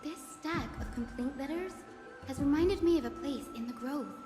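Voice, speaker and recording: Actress doing a young game heroine telling a story, high voice, measured n articulate, music behind her. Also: lightly acted. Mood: thoughtful, confiding. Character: young woman companion recounting a heretical scholar's trial. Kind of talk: scripted game dialogue, storytelling narration. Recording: echoey reverb with music behind.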